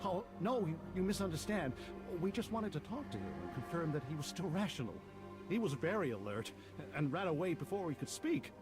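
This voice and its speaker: Earnest, animated fantasy game man. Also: on the high side, brisk. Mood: earnest, apologetic. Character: earnest conciliatory man explaining himself. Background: game music.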